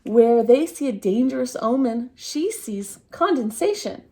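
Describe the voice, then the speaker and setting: A woman with a high-pitched voice reads a picture book aloud for children. Her pitch swings widely and she takes her time, stretching key words for theatrical emphasis. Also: lively intonation, a little slow, a little mellow. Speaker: storytime reader at a dance centre.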